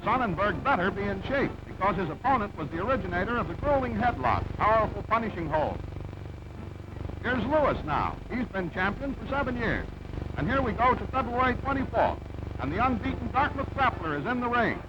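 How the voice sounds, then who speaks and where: A man's voice: high voice, sing-song, fairly quick. A man giving punchy, present-tense play-by-play sports commentary in an old newsreel style. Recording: dull, very noisy old film soundtrack.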